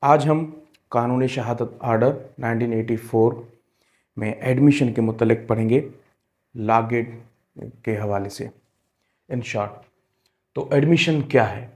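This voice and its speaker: A man teaching a law exam-prep lesson, explaining step by step and repeating key terms, slowing into careful English when he reads legal text aloud. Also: medium pitch, darkish tone. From Pakistan. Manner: switches into slow, deliberate English when reading legal text. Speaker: law lecturer.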